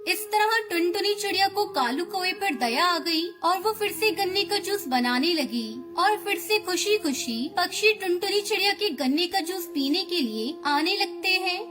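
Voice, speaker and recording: A woman's voice: very high-pitched, animated, moderate pace, few pauses. A woman reading scripted narration for a children's moral story. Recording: music bed under narration. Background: background music.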